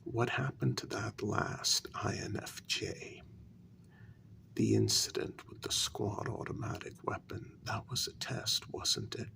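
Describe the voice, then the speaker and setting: Guy doing a hurt, quietly threatening mortal in a vampire asmr roleplay, lowish breathy gravelly murmur, lots of slow pauses. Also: huge pitch swings, exaggerated voice. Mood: hurt, accusing. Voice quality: breathy, gravelly half-whisper. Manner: slow, deliberate, pausing between phrases. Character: human man confronting his vampire queen, wounded and quietly menacing. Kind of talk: ASMR role-play, soft-spoken.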